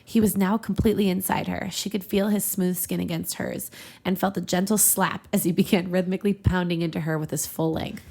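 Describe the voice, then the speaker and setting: Creaky-voiced woman reading fan fiction. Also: fairly low pitch, steady tone, fairly quick, a little mellow. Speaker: podcast host and reader. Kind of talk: reading fan fiction aloud, with casual asides.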